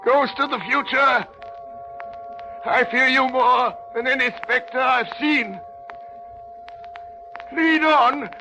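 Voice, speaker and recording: An actor playing a frightened old miser who pleads urgently, in an old radio drama. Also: male voice, extremely high pitch, slow pace, gravelly, long pauses, over music, heavily acted, rough recording. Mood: fearful urgency. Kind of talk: 1930s radio drama acting. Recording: old 1930s radio broadcast.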